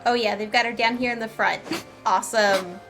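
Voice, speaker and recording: A woman's voice: on the high side, steady tone, a little fast, over music. A woman giving casual, playful playthrough commentary as a gaming streamer, with little sing-song asides.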